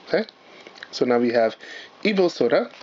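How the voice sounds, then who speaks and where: Man host, hoarse and raspy, chatty with fillers, mid-pitched, dull lo-fi camera sound with faint hiss. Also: very animated, moderate pace, darkish tone. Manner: chatty, apologetic, lots of fillers. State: hoarse, partly lost voice. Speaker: channel host, card unboxer. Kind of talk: casual unboxing vlog. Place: indoors at a table, camera on the cards.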